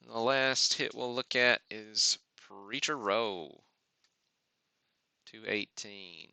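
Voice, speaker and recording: A man's voice: fairly low pitch, slow, vocal fry, bright, forceful tone, smooth, no room sound. A man hosting a live card-opening stream with casual, off-the-cuff commentary and muttered asides to himself. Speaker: host of a sports card break livestream.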